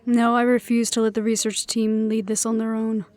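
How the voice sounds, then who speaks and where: A woman playing a composed, dutiful middle-aged leader, speaking in a measured, reflective way in an audio roleplay. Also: on the high side, level tone, natural voice. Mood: reflective, resolute. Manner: measured, reflective, quietly firm.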